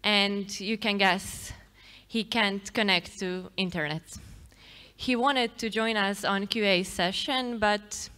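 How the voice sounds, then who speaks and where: Slow-speaking woman in a reverberant hall. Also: mid-range voice, steady tone, sharp tone, clear, resonant tone. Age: young adult. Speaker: event presenter introducing a speaker. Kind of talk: stage introduction read from notes. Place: large theatre stage. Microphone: microphone on a stand. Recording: echoey hall with long reverb.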